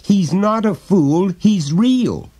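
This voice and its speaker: A man reading an audiobook, voicing an earnest, stubborn small boy who insists quietly. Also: sits high, animated, slow pace, slightly rough, dry recording, pitched above the performer's own voice, exaggerated voice.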